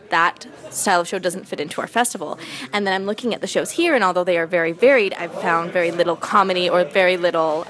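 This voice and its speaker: A woman's voice: lowish voice, fairly bright tone. Earnest young woman comedian. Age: 20s. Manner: earnest, searching, many fillers. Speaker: guest, comedian. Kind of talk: casual podcast chat.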